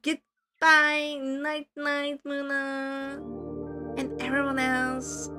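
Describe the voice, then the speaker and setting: A woman streamer chatting casually with her viewers, her voice worn hoarse from talking too much, rambling and self-deprecating. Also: high voice, monotone, extremely slow, over loud music.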